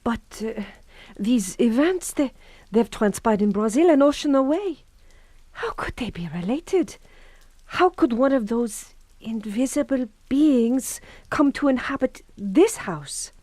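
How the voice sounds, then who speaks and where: An actress playing a timid, caring female servant in an audio horror drama, gentle, deferential and hesitant. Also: fairly high pitch, expressive, slow pace, dry recording. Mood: puzzled unease. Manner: gentle, deferential, hesitant, breathy near-whisper at times.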